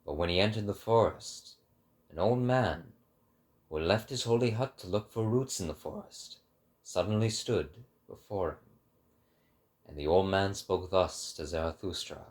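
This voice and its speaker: A man's voice: sits low, moderate pace. A man narrating a book in a dramatic, scripted style.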